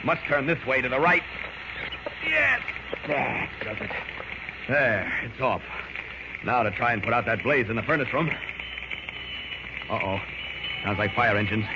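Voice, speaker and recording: An actor playing a heroic, resolute superhero, urgently thinking aloud in 1940s radio serial style. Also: male voice, mid-range voice, very animated, measured pace, creaky, pauses often. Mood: urgent, determined. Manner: dramatic, urgent self-narration. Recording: noisy, dull old radio recording.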